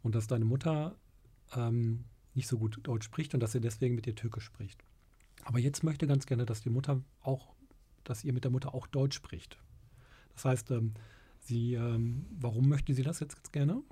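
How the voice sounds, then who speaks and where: A man's voice: medium pitch, moderate pace, dark tone, breathy edge. A man, a teacher, leading a classroom discussion and asking questions, speaking hesitantly with many fillers.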